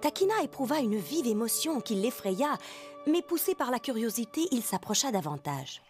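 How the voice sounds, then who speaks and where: A woman reading scripted narration for an animated children's story. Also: a little high, normal speed, soft background music.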